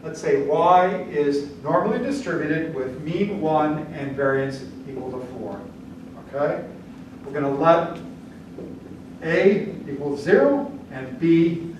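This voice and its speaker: Older man lecturing in an echoey classroom. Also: a little high. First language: German. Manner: casual and blunt, checks in with "okay?". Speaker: statistics lecturer. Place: large classroom with whiteboard. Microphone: camera at the back of the room. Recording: echoey classroom from far off, noisy.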